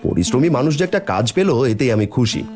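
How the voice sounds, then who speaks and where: Man acting a kindly, expressive village shopkeeper. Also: mid-range voice, quick pace, soft background music, close to an everyday voice. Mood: kindly, trusting. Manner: earnest, gentle, plaintive when wronged. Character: kind, trusting poor village shopkeeper and father. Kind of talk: cartoon dialogue acting.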